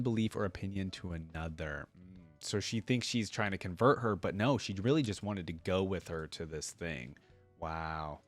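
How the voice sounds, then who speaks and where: A man's voice: lowish voice, no room sound. A man reading story lore aloud and reacting to it with informal, invested fan commentary. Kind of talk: dramatic read-aloud with casual fan commentary.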